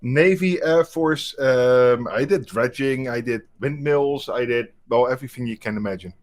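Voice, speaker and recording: A man's voice: mid-range voice, moderate pace. A man, a guest on a video call, introducing himself in short clipped sentences. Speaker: guest, technical recruiter. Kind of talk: casual video-call chat.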